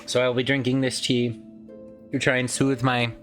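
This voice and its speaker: A man's voice: medium pitch, monotone, normal speed, a bit bright, soft background music. Chatty man with a tired voice. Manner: chatty, rambling, swears casually. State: voice tired from heavy use. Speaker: let's play host. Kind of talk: casual gameplay commentary.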